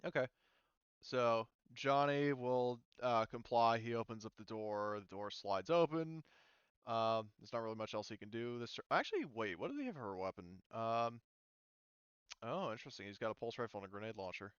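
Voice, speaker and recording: A man's voice: mid-range voice, lively intonation, moderate pace, clean tone, no echo. Man running a tabletop game, muffled audio. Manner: casual, thinking aloud. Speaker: game master. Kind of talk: casual tabletop game narration and rules talk.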